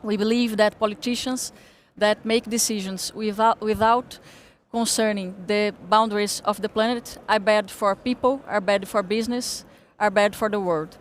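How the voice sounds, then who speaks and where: A Brazilian woman, a native Portuguese speaker, giving a partly read speech in English with halting delivery, repeating and correcting words. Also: mid-range voice, steady tone, a little hiss or hum. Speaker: panel speaker, political scientist.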